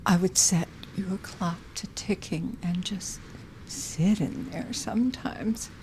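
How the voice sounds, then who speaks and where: A woman playing a moody, guilt-ridden, fragile widow in an audio drama, halting and hushed, often slipping into whispers. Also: fairly low pitch, low-quality recording. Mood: wistful, subdued.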